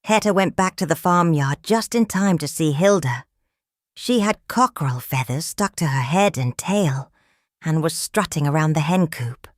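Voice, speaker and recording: A woman reading a children's picture book aloud in a calm, measured, expressive storytelling manner. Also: low-pitched, no room sound, clean studio sound. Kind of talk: children's read-aloud narration.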